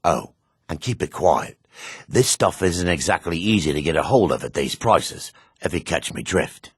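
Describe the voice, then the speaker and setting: Low, raspy dark elf schemer. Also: male voice, lively intonation, moderate pace, no echo, clean studio sound, exaggerated voice. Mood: smug, confiding. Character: dark elf man, shifty, greedy meadery boss and merchant. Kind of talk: fantasy video game voice acting.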